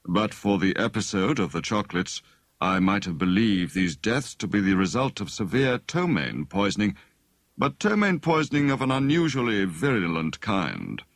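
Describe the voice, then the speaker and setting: Man acting grave, deliberate elderly doctor. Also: fairly low pitch, big pitch swings, no room sound, studio quality. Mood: grave, measured. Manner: formal, deliberate, clinical. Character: kind, worried elderly village doctor, grave and measured.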